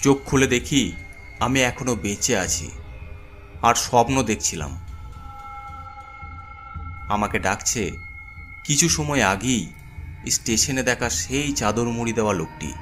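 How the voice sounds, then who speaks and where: A man's voice: mid-range voice, measured pace, pauses often, fairly bright tone, faint music behind. A man narrating a horror story in the first person in a dramatic, suspenseful, theatrical way.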